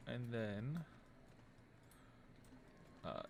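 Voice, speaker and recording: A man's voice: medium pitch, animated, very slow, creaky, a little mellow, a little hiss or hum. A man streaming a video game, giving casual live commentary as he thinks aloud and mutters to himself.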